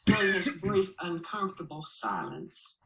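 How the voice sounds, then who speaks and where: A woman's voice: lowish voice, huge pitch swings, unhurried, a little hiss or hum. Woman narrating a memoir in an echoey room. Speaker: audiobook reader. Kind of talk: first-person memoir narration, expressive storytelling.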